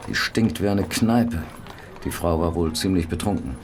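An actor playing a dry, unflappable Victorian police doctor in a radio play, matter-of-fact and clinical. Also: male voice, low voice, moderate pace, slight background noise. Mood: clinical, absorbed. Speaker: actor in an audio drama. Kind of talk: German radio play acting.

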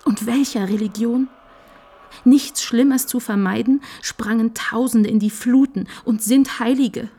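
A woman playing a devout, passionate young daughter in a classical radio tragedy, with a breathy, husky voice and theatrical, pleading delivery. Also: a little high, steady tone, warm tone. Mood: fervent, hushed. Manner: slow, fervent, theatrical whispering and pleading. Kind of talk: mid-century German radio play acting, classical tragedy.

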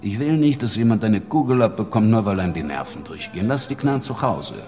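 Guy acting threatening mob boss, low gravelly voice that swings around, big reverb. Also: lively intonation, fast, a little mellow, close to an everyday voice. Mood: controlled menace. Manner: controlled, commanding, threatening. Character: menacing male crime boss giving curt orders. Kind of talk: audio drama acting. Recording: long hall reverb, music bed.

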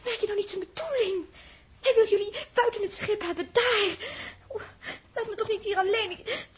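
A woman's voice: very high voice, normal speed. An actress playing an anxious young girl on a spaceship in a 1960s radio drama, plaintive and questioning. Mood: tearful pleading.

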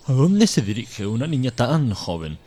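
An actor playing a sly, predatory wolf in an audio fairy tale, falsely courteous and insinuating. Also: male voice, medium pitch, big pitch swings, moderate pace, noisy background, natural voice. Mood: sly, flattering. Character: sly predatory male wolf, smooth-talking and menacing.

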